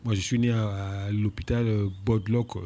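A man's voice: lowish voice, level tone, normal speed, mellow. A man in his fifties with a Cameroonian French accent, a musician guest talking casually, often restarting and repeating words. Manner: hesitant, many restarts and repeats. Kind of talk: casual interview.

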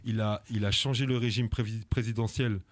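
A man's voice: lowish voice, fairly even intonation, fairly quick, no echo. A man from France, an activist guest on a radio interview, speaking earnestly and indignantly with many hesitations, fillers and restarts.

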